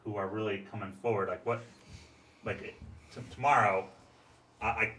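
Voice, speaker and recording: A man's voice: lowish voice, fairly even intonation, moderate pace, sharp tone. An American man hosting a casual interview, rambling through questions and acting out imagined quotes. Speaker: podcast host, interviewer. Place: podcast studio, indoors. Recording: roomy echo, distant mic, faint noise.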